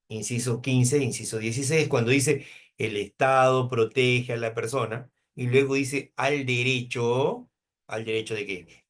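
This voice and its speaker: A Peruvian man in his fifties teaching a law class, asking rhetorical questions and answering them himself. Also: medium pitch. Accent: Peruvian Spanish. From Peru, coast. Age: 50s. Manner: asks questions and answers them himself, uses hypothetical examples. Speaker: law lecturer.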